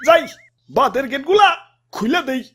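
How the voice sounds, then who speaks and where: High-pitched, gloating cartoon dam keeper. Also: male voice, animated, moderate pace. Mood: flustered, scheming. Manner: boastful, mock-generous. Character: gloating, selfish male dam keeper hoarding river water. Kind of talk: comic cartoon acting.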